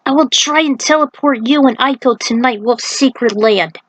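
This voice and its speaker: A woman's voice: high-pitched, moderate pace, no echo, voice pushed up. A woman voicing a grave, protective male thunder god in a story, with solemn, emphatic declarations. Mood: strained, grave.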